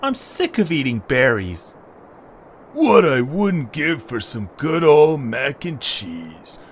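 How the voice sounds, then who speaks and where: A man voicing a small, cautious, food-loving male creature, earnest and expressive in an amateur audio drama. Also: fairly high pitch, takes it slowly. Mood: longing, hungry. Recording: background noise, ambience.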